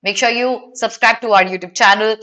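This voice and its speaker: A young woman with an Indian accent and a high, very bright voice teaches an online exam class. She addresses her students directly in an instructional way, moving between English and Hindi. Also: steady tone, brisk, slight background noise. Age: young adult. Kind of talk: online lesson, talking to live students.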